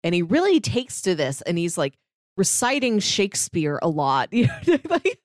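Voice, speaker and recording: A woman's voice: fairly low pitch, big pitch swings, normal speed, no room sound, studio quality. A woman co-hosting a podcast, chatting casually about comic books and retelling scenes informally with paraphrased dialogue. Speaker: podcast co-host. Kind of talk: casual comic-book discussion.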